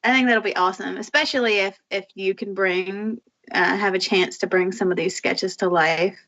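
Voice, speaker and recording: A woman's voice: medium pitch, fairly even intonation, moderate pace, fairly bright tone, dry recording. A woman co-hosting a podcast, asking long, rambling questions with fillers in a friendly way. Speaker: podcast co-host. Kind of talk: casual interview chat. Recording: dull lo-fi sound.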